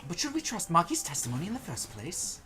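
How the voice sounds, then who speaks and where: A woman's voice: on the low side, wildly swooping pitch, fast, bright, forceful tone, soft background music, heavily acted. A woman voicing an insistent student debating in an anime game fan dub.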